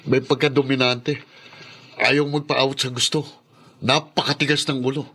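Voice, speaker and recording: An actor playing a caring, earnest son in a Filipino radio drama, speaking with concern. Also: male voice, medium pitch, little pitch movement, moderate pace, bright, forceful tone, slight background noise.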